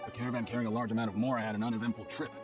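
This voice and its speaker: A man's voice: medium pitch, little pitch movement, rapid-fire, close to an everyday voice. A man voicing a businesslike investigator in a video game, giving a factual, explanatory report. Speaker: voice actor in game dialogue. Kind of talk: scripted video game voice acting. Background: game background music.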